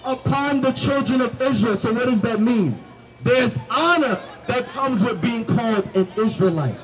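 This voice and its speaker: High-voiced street preacher shouting on a mic. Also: male voice, normal speed, crowd noise, low-quality recording. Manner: commanding, rising to shouts, quizzes listeners. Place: city sidewalk by a food cart. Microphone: handheld microphone.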